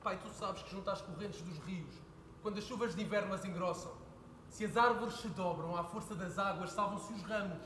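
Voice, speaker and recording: A young man playing an earnest son who pleads with his father, building to heated shouting. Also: high voice, a little fast, slight background noise. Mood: pleading. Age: young adult. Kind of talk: stage play performance. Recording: echoey hall with faint ambience.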